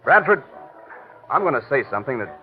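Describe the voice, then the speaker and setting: A jealous, sneering tough guy from a vintage radio play, played by a male actor. He talks fast with big swings in pitch, on a muffled old recording. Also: very animated, faint music behind, lightly acted. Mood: jealous anger. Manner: sneering, slangy wise guy. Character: jealous hot-headed tough-talking man in love. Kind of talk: 1940s radio drama acting.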